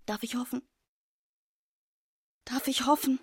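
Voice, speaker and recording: A proud young gentlewoman, wounded and pleading, in a literary radio play. She speaks formal, earnest in a raised register. The sound is dry and close. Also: high-pitched, steady tone, takes it slowly, husky, dry recording, full character voice. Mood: desperate pleading.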